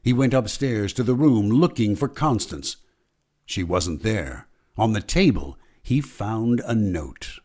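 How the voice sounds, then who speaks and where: A man playing a brooding old-time radio mystery narrator, telling the story in a deliberate, dramatic way. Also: on the low side, lively intonation, a little mellow. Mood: ominous.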